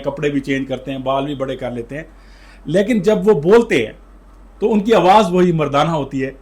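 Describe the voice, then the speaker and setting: A man with a Pakistani accent interviewing a guest in a wordy, colloquial, conversational way. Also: on the high side.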